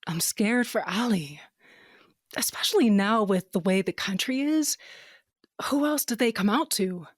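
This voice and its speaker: A woman's voice: mid-range voice, expressive, unhurried, no room sound, clean studio sound. A woman playing a caring, earnest mother in a fantasy audio drama, with a natural conversational delivery. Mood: worried. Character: worried, caring mother held in a fantasy jail.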